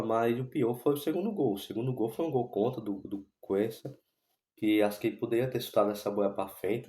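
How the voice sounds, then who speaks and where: A man, hoarse from a cold and sore throat, giving casual football commentary and rambling with many restarts. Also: fairly low pitch, dark tone, echoey room. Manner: rambling, repeats himself with many restarts and fillers. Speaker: football fan channel host.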